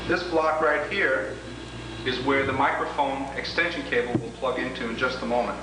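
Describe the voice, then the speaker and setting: A man giving an instructional product demo, explaining each step in a deliberate, methodical way. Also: mid-range voice, fairly even intonation. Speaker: product demonstrator. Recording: roomy, echoing, noisy 1980s demo tape, distant mic.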